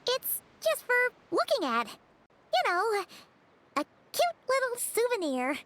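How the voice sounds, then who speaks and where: Tiny sprite companion, very high squeaky voice, bouncy lively delivery, game music. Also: female voice, exaggerated voice. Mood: teasing. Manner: bubbly, quick, excitable. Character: tiny chirpy non-human companion sprite. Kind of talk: game voice acting. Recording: game dialogue over music. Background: game score music.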